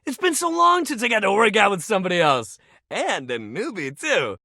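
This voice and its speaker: Upbeat game jock: friendly bro hype, bright forceful delivery, wildly swinging pitch, dry close recording. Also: male voice, very animated, moderate pace, creaky, dry recording, heavily acted. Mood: excited, encouraging. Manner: bro-ish, casual slang, hype-man energy. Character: upbeat, friendly jock sports-equipment guy, hyping up a newcomer. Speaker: video game character. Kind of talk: animated video game voice acting.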